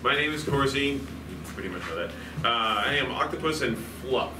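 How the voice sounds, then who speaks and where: Casual male cast member, mid pitch, distant from the mic. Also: lively intonation, moderate pace. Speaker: radio play cast member. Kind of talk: casual self-introduction. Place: convention event room. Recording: echoey distant live room, clear noise.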